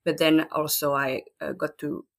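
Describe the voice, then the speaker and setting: A woman's voice: low voice, little pitch movement, moderate pace, vocal fry. A woman from France in her thirties, a mother telling her birth story in a casual interview, thoughtful with frequent fillers. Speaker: guest mother.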